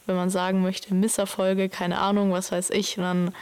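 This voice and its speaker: A woman's voice: mid-range voice, level tone, normal speed, darkish tone, clean tone. A woman in a casual chat, reflecting informally and asking questions as a conversation partner.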